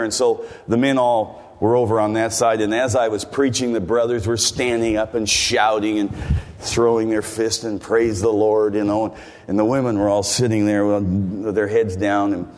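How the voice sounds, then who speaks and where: An older man with an American accent preaches in a relaxed, folksy manner, wandering through side stories and asking the congregation questions. His voice sits very low. It rings out with a long reverb, as in a large church hall. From United States. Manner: folksy, rambling, with asides to the congregation. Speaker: visiting preacher. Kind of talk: sermon.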